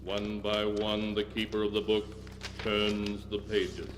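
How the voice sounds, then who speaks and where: A man narrating a 1940s radio mystery drama, slow, ominous and theatrical. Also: lowish voice, monotone, big-room sound, very noisy. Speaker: narrator, keeper of the book.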